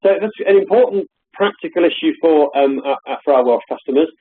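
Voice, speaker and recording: A man giving a hesitant, filler-heavy software demonstration on a live call from his home office. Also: a little high, lively intonation, brisk, slightly rough, narrow, tinny audio. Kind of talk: live webinar product demo. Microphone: call audio.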